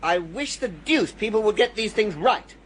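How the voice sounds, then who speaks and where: An actor playing a high-spirited, mischievous young student with an upper-class English accent in a radio comedy, jaunty and chortling. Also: male voice, animated, normal speed, a bit bright. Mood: gleeful. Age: young adult. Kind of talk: radio comedy drama acting. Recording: noisy ambience behind voice.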